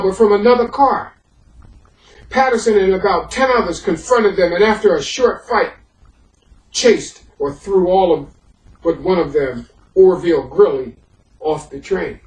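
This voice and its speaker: A man working as a historian, presenting and reading a history book aloud, halting in his own remarks and more emphatic as he reads. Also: high-pitched. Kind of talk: introduction and audiobook-style reading of a history book. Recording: echoey room, far from the microphone.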